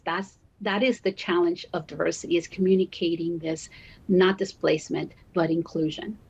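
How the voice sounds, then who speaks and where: A woman from Guatemala and Miami giving measured, deliberate, explanatory answers as a guest in a broadcast interview, often checking in as she goes. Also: mid-range voice, darkish tone, husky, highs cut off. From born in Guatemala, raised in Miami, Florida. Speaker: university diversity officer, interview guest.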